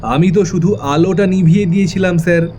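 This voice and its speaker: A man's voice: high voice, a little fast, warm tone, a little hiss or hum, natural voice. Man acting a cold, mock-polite young avenger. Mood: cold, insistent. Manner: controlled, mock-polite, menacing. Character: cold, taunting young avenger of his dead father. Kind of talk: dramatic audio thriller acting.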